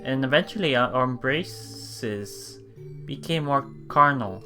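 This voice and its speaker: A man's voice: mid-range voice, lively intonation, takes it slowly. A man doing a let's play, reading a visual novel aloud as narrator and adding casual remarks. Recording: game music behind the voice.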